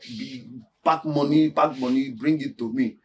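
An actor playing a poor, aggrieved young village farmer with a Nigerian accent, stammering and repeating himself in agitation. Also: male voice, a little high, lively intonation, normal speed, fairly bright tone. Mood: low, scheming. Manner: agitated, stammering repetition of words. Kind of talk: Nollywood film acting. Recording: background music under dialogue.

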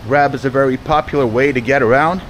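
A man from the Netherlands vlogging his trip and chatting with the driver in a taxi, asking questions and filling his pauses with "uh". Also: medium pitch, steady tone. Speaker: travel vlogger. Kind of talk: casual vlog chat. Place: inside a taxi in a city. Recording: loud traffic noise from a car.

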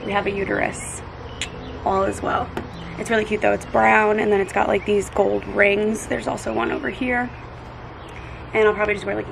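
A young woman talks straight to the camera in an upbeat, chatty way, full of "like" and quick asides. Her voice has a gravelly, fry-tinged edge. Faint room ambience sits behind her, since the camera is on a kitchen table or held at arm's length. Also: medium pitch, noisy background. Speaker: lifestyle vlogger. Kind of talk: casual vlog talking to camera. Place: home kitchen indoors, then outdoors in a backyard. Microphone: camera on a desk, then handheld at arm's length.

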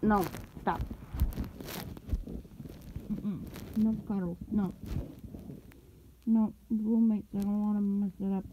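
A woman's voice: medium pitch, background noise. A woman playing a sleepy, grumpy, innocent young streamer in an ASMR roleplay, mumbling and humming in pouty protest. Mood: stubborn, flustered. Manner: drowsy, pouty mumbling with grumbly hums. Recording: loud rustling of fabric close by. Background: rustling, ruffling noises.

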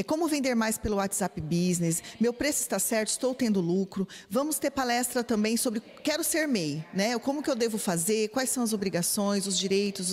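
A woman speaks as a business analyst in an office interview. She is chatty and fills her pauses with hesitations, speaking into a handheld microphone. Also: mid-range voice, big-room sound. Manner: chatty, with frequent "é" fillers. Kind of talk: news interview answers. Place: office indoors.

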